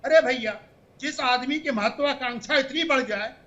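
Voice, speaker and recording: High, sharp-voiced combative male spokesman. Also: moderate pace, muffled recording. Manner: combative, talks over interruptions. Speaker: party spokesman. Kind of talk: heated TV panel debate.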